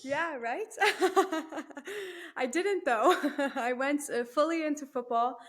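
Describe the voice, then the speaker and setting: A woman's voice: high voice, slow. A young woman, chatting as a guest in an interview, laughing easily and using lots of fillers. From Canada. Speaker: guest, new team member. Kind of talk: casual interview chat.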